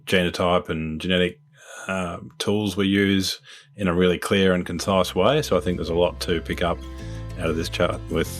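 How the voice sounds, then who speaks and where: A man hosting a podcast, talking in a relaxed, rambling way as he introduces and questions his guest. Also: sits low. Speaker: podcast host. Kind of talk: casual interview chat.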